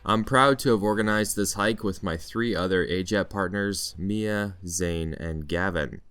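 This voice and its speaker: A man's voice: low-pitched, measured pace, fairly bright tone, smooth. A Canadian man narrating a scripted vlog in a playful storytelling way with mock-dramatic flourishes. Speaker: vlog narrator, teacher in Japan.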